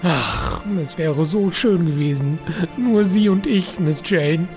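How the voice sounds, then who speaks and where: A man's voice: a little high, lively intonation, slow pace, a little mellow, soft background music. A man playing a fussy, lovesick, scheming bureaucrat in a comic audio drama, officious and melodramatically pleading. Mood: lovesick confessing.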